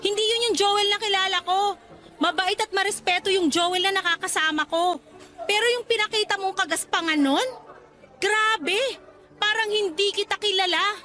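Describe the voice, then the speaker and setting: Testy, high-voiced young Filipina. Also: female voice, steady tone, moderate pace, sharp tone, soft background music. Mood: indignant. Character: young Filipina woman, principled, testy but easily delighted. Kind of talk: radio drama acting.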